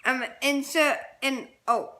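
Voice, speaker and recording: High, bright-voiced woman in casual review chat. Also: steady tone. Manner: halting, informal recounting.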